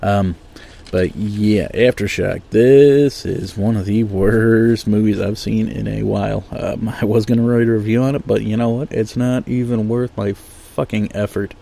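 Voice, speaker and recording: A man's voice: lowish voice, fairly even intonation, a little slow, creaky, warm tone. A man with a sniffly, nasal voice from allergies, giving a blunt, profane, rambling movie review full of ums and uhs. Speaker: podcast host reviewing movies.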